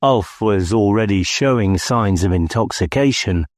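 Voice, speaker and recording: A man's voice: on the low side, measured pace, no room sound, clean studio sound. A man reading scripted first-person story narration as an audiobook narrator.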